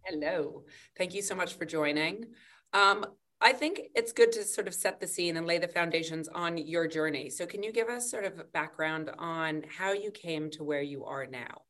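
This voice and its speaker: A woman with a slightly raspy voice, hosting a podcast and introducing a guest in a calm, measured way. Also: lowish voice. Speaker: podcast host. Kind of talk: scripted intro then interview.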